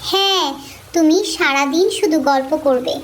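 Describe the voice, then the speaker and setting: A woman voicing a gentle, curious little village girl in a cartoon story, childlike and earnest. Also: high voice, normal speed, a little hiss or hum, close to an everyday voice. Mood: earnest, affectionate. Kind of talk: cartoon moral story dialogue.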